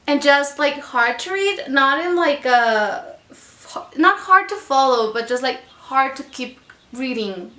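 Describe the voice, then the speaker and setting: A woman's voice: high voice, bright, forceful tone, roomy sound. A young woman from Mexico with a Mexican accent, chatting casually about books in a vlog, rambling with many fillers. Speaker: book vlogger. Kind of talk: casual reading wrap-up vlog.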